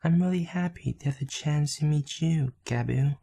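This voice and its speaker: A man voicing a gentle, selfless young female goat in an anime fan dub, speaking softly and tenderly. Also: fairly high pitch, normal speed, creaky.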